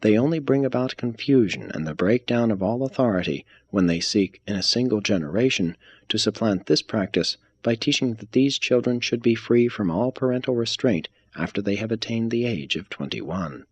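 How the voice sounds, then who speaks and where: A man reading a religious text aloud as scripted narration, in a measured, formal manner. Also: on the low side, animated, breathy edge. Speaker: reader.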